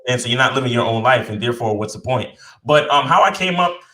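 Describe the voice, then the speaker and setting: A middle-aged man from Brooklyn with a bright, forceful voice, heard in a roomy space. He talks in a relaxed, humble way, with plenty of fillers and restarts. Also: medium pitch, fast talker. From born in Jamaica, raised in Brooklyn, New York. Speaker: podcast guest, dating coach. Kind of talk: casual interview chat.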